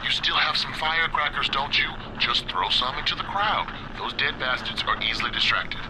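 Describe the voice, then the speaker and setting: A man playing a gruff, practical survivor in a video game, giving brisk, dry instructions with a sardonic edge. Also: medium pitch, background noise, natural voice. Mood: sardonic, impatient.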